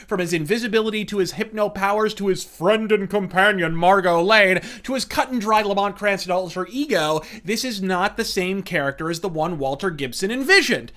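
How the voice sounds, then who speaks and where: A man's voice: high voice, brisk, few pauses, bright, forceful tone. A man hosting a scripted video essay, narrating in florid, wordy and profane language. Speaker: reviewer and host.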